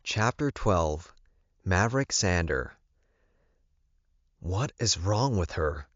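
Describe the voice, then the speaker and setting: A man's voice: low voice, expressive, takes it slowly, no echo, lo-fi sound. A man reading first-person fiction aloud as an audiobook narrator, in a scripted storytelling style.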